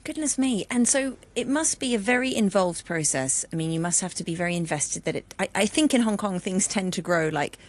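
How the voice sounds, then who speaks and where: A woman's voice: medium pitch, expressive, fairly quick, few pauses. A woman hosting an interview, attentive, asking questions and murmuring encouragement. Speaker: interview host.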